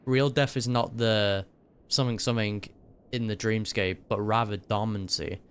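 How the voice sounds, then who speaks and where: A man's voice: on the low side, fairly even intonation, normal speed, smooth. A man giving casual reaction commentary on a game, musing aloud with some hesitation.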